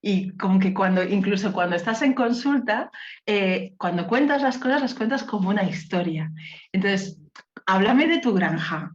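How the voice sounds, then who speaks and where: A woman's voice: medium pitch, expressive, moderate pace. Woman host on a dull, lo-fi line. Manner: affectionate, encouraging listener. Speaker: podcast host. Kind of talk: conversational interview.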